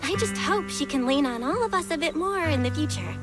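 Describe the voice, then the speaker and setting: A woman's voice: sits high, expressive, fairly quick. A woman acting a caring, determined young heroine in an action game, sincere and eager. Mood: playful. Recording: loud music behind.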